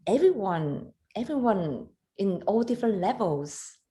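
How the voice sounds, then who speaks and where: A woman in her thirties from Hong Kong, a photographer and competition judge, talking on a video call in halting English, animated and laughing often. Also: medium pitch. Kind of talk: casual video call interview.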